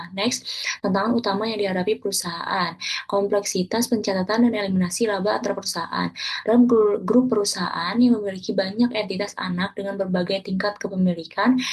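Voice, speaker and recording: Husky woman reading a class presentation. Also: mid-range voice, darkish tone. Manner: reads steadily from slides, with small self-corrections. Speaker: student presenter.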